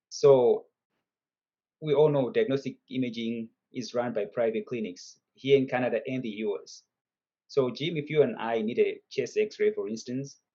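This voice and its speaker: A man's voice: mid-range voice, dark tone, lo-fi sound. A man in his fifties from Zimbabwe, telling his story as a guest in a casual video call interview. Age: 50s. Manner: chatty storyteller, laughs between points. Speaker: guest entrepreneur.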